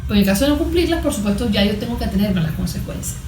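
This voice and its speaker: A woman's voice: mid-range voice, lively intonation, very fast, a little mellow. Venezuelan woman explaining in an echoey room. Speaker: cognitive therapist. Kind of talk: explanatory interview talk. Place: therapy consulting room. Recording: echoey room with music bed.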